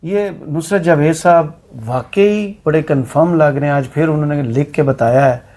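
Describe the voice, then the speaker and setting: A man hosts a political talk show, and his voice sits high for a man. He talks in a conversational way, with a sarcastic edge as he puts his questions. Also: moderate pace, darkish tone. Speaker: host.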